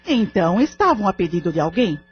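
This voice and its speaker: A woman's voice: mid-range voice, sing-song, fairly quick, slightly rough. An actress playing a firm, insistent lady medium, speaking formally and with authority in an audio drama. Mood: probing. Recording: muffled lo-fi audio drama recording. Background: background music.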